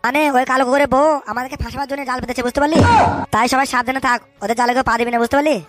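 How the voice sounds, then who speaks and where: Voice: high-pitched, steady tone, racing, fairly bright tone, faint music behind. An actor voicing a bullying, suspicious cartoon political boss, scolding and cursing in street slang. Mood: angry, suspicious. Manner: scolding, hectoring, repeats tag questions, curses constantly.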